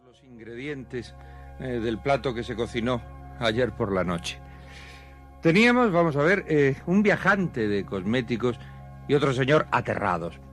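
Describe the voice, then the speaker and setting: Actor as wry macabre storyteller, man, lively swooping pitch, radio suspense, faint music. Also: measured pace, lightly acted. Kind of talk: radio suspense programme narration.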